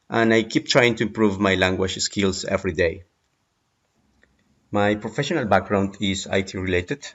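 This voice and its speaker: Colombian man, 30s, native Spanish speaker, low voice, measured delivery with "eh" fillers, dull lo-fi recording. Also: vocal fry. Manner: measured, with frequent "eh" hesitations. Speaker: migrant sharing job search advice. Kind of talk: prepared personal talk.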